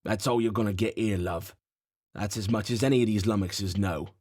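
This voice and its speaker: A gruff male pub boss, coarse and mocking, as a male reader puts him on. The voice stays low and plain, close to the reader's own. The recording is dry and close to the mic. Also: normal speed, darkish tone, no room sound, close to an everyday voice. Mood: scornful. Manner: coarse, scornful.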